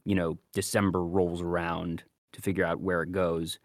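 A man's voice: low voice, flat delivery, normal speed, no echo, studio quality. A man hosting a podcast, giving casual, conversational reviews. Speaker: podcast host.

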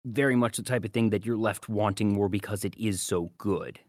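Male podcast host, lowish register, casual anime commentary, dry sound. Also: brisk, darkish tone, no echo.

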